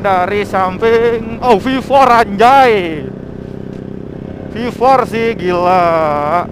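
A man chatting casually and excitably in slangy style as he vlogs while riding outdoors. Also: high voice, drawn-out words, noisy background. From Indonesia. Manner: excitable, exclamatory, interjection-filled. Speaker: motovlogger. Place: outdoors, riding a motorbike on a forest mountain road.

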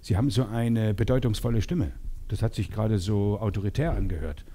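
A man in his forties working as a sales trainer, talking to seminar participants in an animated, teasing way and acting out bits of dialogue. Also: lowish voice, fairly quick. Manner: animated, teasing, role-plays short dialogues. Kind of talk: lively seminar talk to participants. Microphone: headset.

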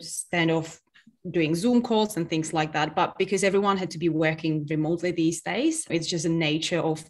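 A woman's voice: low voice, steady tone, moderate pace. A woman in her thirties from the Czech Republic, speaking with a Czech accent as a business guest on a video call, earnest and explanatory. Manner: earnest, explains with hand gestures. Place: home office, virtual background. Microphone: webcam on video call.

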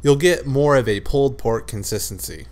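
A man hosting a cooking channel, narrating his recipe steps in a relaxed, matter-of-fact voice-over. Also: medium pitch, normal speed. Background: loud music.

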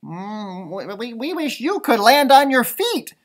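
Nervous young bird for a picture book acted by a man: high-strung, hesitant, pitch jumping upward. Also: very animated, measured pace, a bit bright, full character voice. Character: small, nervous, friendly young birds.

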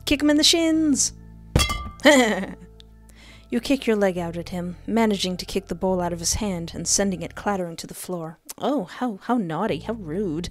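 A woman's voice: mid-range voice, animated, moderate pace. Playful young woman streaming a horror game. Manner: playful, cheeky, sassy. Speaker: streamer, narrator. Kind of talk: let's play, reading visual novel aloud with playful reactions. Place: indoors at a desk. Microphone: headset and close desk microphone. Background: faint game music.

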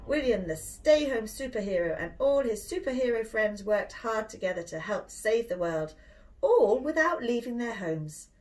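A middle-aged woman with a British accent reading a children's storybook aloud, expressively and clearly for young listeners. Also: on the high side, sing-song, normal speed, rough recording. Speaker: speech and language therapist reading a story.

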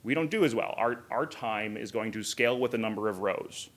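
A man's voice: lowish voice, a little fast, a bit bright. A man in his thirties giving an informal technical conference talk, explaining conversationally with asides from a podium. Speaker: conference speaker, software engineer. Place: hotel conference room, indoors.

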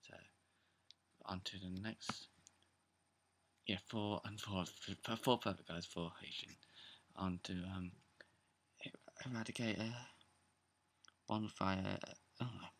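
A young man with a sore, croaky throat, talking quietly in a half-whisper at home while he goes through his card game deck for a casual video. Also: deep voice. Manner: hushed, half-whispering late at night. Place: indoors, seated on a bed or floor at home. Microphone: camera close in front, pointed at his hands.

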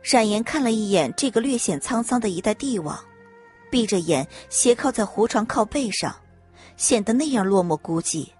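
Husky, expressive woman narrator. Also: medium pitch, moderate pace, soft background music. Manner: expressive storytelling. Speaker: audiobook narrator. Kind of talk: scripted dramatic narration.

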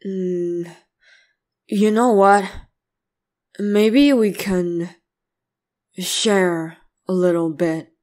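A woman's voice: lowish voice, a little mellow. A woman voicing a brooding, clingy, possessive young male demon slayer in a boyfriend ASMR roleplay, grumbling and hesitant between sighs. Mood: flustered, then possessive, grudging.

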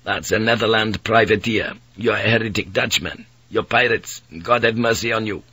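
A man voicing a hostile, hot-tempered priest in his twenties from Portugal, impatient and sharp as he interrogates, in an audiobook. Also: lowish voice, steady tone, moderate pace, breathy edge, no room sound, low-quality recording.

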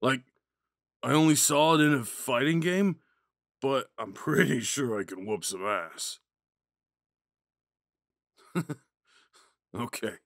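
Man acting a slow-talking drunk himbo jock. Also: medium pitch, fairly bright tone, no room sound, clean studio sound. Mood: protective, goofy. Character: drunk, dim, sweet young male college jock. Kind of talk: ASMR audio roleplay.

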